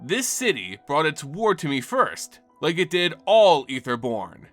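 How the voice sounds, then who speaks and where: A man voicing a ruthless, defiant crime-boss creature of living ether in a fantasy story, with grave, deliberate pronouncements. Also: on the high side, sing-song, a bit bright, faint music behind. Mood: grim defiance.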